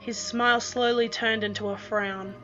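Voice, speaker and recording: A woman narrating a scripted first-person story in a dramatic, deliberate manner. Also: fairly high pitch, steady tone, bright, forceful tone. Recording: dull lo-fi microphone sound. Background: music.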